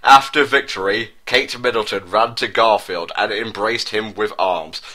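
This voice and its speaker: A man's voice: on the low side, measured pace, pushed and bright. A man reading a comic story in mock-dramatic style, theatrically stressing clumsy wording. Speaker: narrator of comedic dramatic fan-fiction reading.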